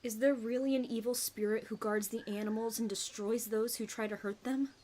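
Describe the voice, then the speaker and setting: A petulant, complaining huntress, acted by a woman for an audio roleplay. Her voice is fairly high, with the whiny tone of a greedy and superstitious hunter. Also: steady tone, brisk, dark tone, a little hiss or hum, close to an everyday voice. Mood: uneasy.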